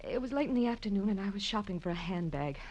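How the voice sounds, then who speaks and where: An actress playing a scheming, defensive femme fatale with a halting, dramatic delivery in a 1940s radio crime drama. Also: female voice, medium pitch, expressive, darkish tone, dull audio, natural voice. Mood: anxious, confessing.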